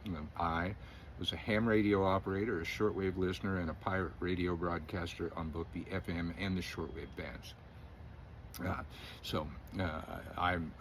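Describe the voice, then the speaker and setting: A man's voice: medium pitch, slight background noise. A middle-aged man giving rambling, opinionated political commentary, full of fillers and chuckles. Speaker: independent news commentator.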